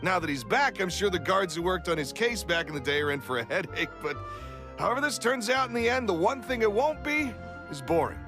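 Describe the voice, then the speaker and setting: A man's voice: high voice, big pitch swings, brisk. A man voicing a chatty, knowing townsman in a game scene. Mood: amused. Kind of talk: video game voice acting. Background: game score music.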